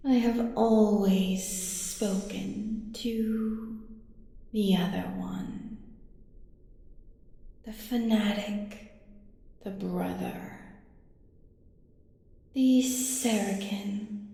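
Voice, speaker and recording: A woman voicing an ancient, cruelly manipulative dark entity in an audio roleplay, speaking deliberately and menacingly, at times in whispers. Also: medium pitch. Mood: mocking, taunting. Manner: slow, deliberate, savouring menace, dropping into whispers. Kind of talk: scripted audio roleplay, ASMR drama. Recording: long cavernous reverb, faint music behind.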